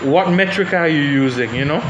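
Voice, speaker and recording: A young man talks through his views on a panel, pausing and restarting as he thinks. His voice sits a little high, and the room is echoey with steady background noise. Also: dull audio. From Saint Vincent and the Grenadines. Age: young adult. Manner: thoughtful, hesitant, many restarts. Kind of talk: panel discussion.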